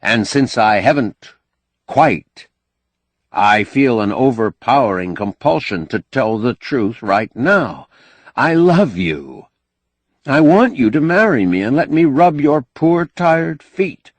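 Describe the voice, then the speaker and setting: Earnest suitor, very animated man reading. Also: mid-range voice, takes it slowly, no room sound, voice pushed up. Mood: earnest, pleading. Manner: earnest, insistent. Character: earnest, pleading bachelor in love. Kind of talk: audiobook character dialogue.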